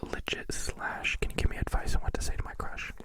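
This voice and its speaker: A young man hosting an ASMR livestream in a close-miked whisper, chatting playfully and joking with viewers. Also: brisk. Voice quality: raspy when soft speaking. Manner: playful, joking, answering chat questions.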